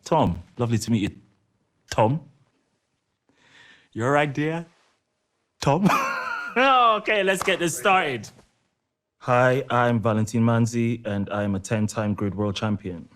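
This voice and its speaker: Slow-paced man, very varied pitch, racing driver, self-deprecating, chatty, halting, game documentary. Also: animated, a bit bright. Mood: playful, self-deprecating. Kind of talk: informal documentary interview acting.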